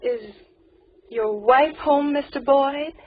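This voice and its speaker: A woman's voice: slow, a little hiss or hum. An actress playing a timid, nervous young woman in an old radio horror drama, with a girlish voice and an American accent. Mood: nervous, polite. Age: young adult. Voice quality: high, girlish. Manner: nervous, pleading, faltering. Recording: dull vintage radio recording.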